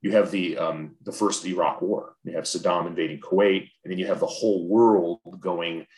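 A man in his forties with a fairly low voice, talking in a reflective, earnest way as an interview guest. He is from Southern California, and he often stops and restarts his sentences, repeating words as he gathers his thoughts. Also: fairly even intonation, normal speed, darkish tone, rough recording. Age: 40s. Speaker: podcast guest. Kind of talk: casual interview conversation.

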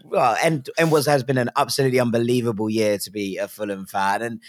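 A hoarse man hosting a casual football podcast, chatty and enthusiastic with frequent ums. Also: medium pitch, fairly even intonation, fast, dry recording, studio quality. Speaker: podcast host.

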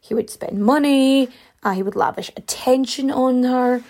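A woman with a fairly high but soft, mellow voice, talking her way through a story in a loose, chatty way with lots of fillers. Her pitch jumps up and down as she gets into it, and her voice is wearing thin and a little hoarse. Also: moderate pace. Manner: chatty, many fillers, big pitch swings. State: losing her voice. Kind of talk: casual storytelling.

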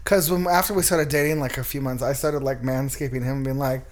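A man's voice: medium pitch, fairly even intonation, quick pace. A man co-hosting a podcast in casual chat, with a voice he calls girly, talking in a chatty, giggly way. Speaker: podcast co-host.

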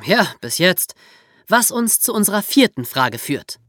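Lively, swooping female co-host. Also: mid-range voice, unhurried, loud music behind the voice. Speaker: podcast co-host. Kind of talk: scripted discussion.

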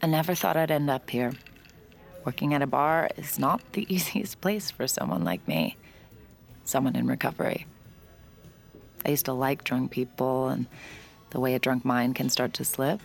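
A woman's voice: rumbling low, normal speed, creaky, long pauses, soft background music. An actress playing a guarded young woman bartender in recovery, speaking with dry, self-aware narration. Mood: wry. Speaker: narrator and lead. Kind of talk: audio drama acting with inner narration.

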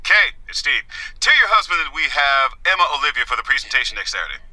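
A man playing a self-important, menacing theatre producer with a forceful, sardonic delivery. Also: medium pitch, very animated, fast, faint music behind, low-quality recording. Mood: emphatic, bossy. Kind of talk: scripted audio comedy acting.

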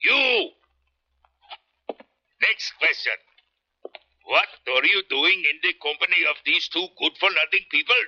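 An actor playing a proud, menacing rebel army colonel in a 1970s radio drama, speaking formally and deliberately with threat. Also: male voice, a little high, lively intonation, bright, forceful tone, full character voice. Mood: cold menace. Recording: muffled old radio broadcast recording.

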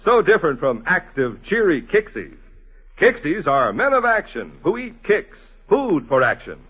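A man reading a 1950s radio advertisement in a punchy salesman's manner, with a rough-edged voice on an old broadcast recording. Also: on the high side, very animated, measured pace, lo-fi sound. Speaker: radio commercial pitchman.

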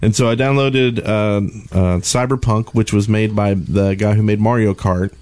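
A man's voice: on the low side, fairly even intonation, normal speed, darkish tone. A man co-hosting a podcast in casual gaming chat, informal, joking and rambling as he goes. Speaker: podcast co-host.

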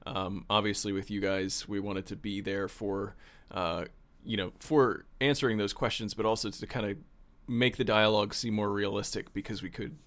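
A man with a slightly low voice hosts a behind-the-scenes chat. He is laid-back and friendly, and he chuckles often as he talks about recording sessions. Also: little pitch movement, moderate pace, fairly bright tone. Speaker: podcast producer. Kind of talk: casual interview chat.

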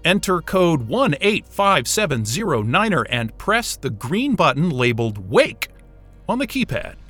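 High, irritable sarcastic guy. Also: very animated, measured pace, fairly bright tone, faint music behind, exaggerated voice. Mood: grumbling, puzzled. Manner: exasperated, sarcastic, sweary. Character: Wayne, irritable sarcastic astrophysicist, adult man. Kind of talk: naturalistic audio drama acting.

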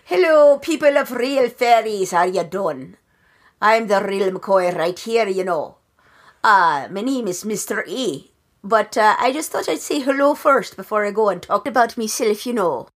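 A woman's voice: mid-range voice, expressive, measured pace. Woman putting on a jokey Irish elf man. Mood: cheery. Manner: playful, folksy. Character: male elf spokesman, jokey, chatty.

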